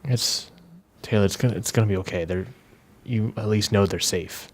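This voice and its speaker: A man playing Marco, an awkward, gently consoling friend in a tabletop game, speaking in a halting, tentative way. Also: fairly low pitch.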